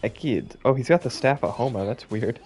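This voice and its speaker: Sweary gaming streamer guy with gravelly voice. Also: medium pitch, expressive, brisk, a little mellow. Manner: sweary, exasperated, blunt. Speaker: game streamer. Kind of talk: casual gaming commentary with reading of on-screen text.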